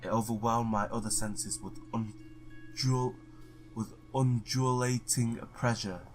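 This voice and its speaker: A man's voice: medium pitch, little pitch movement, slow pace, soft background music, low-quality recording. A man doing a let's play, reading visual novel text aloud, stumbling now and then and blurting his own reactions.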